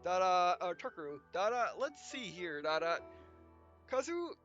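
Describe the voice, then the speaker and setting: A man doing a casual, halting read-aloud of a visual novel for a gameplay video, speaking punctuation and speaker tags as he goes. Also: high-pitched, big pitch swings, a bit bright. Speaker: let's player reading visual novel text aloud. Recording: dull, lo-fi sound with music behind. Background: game music.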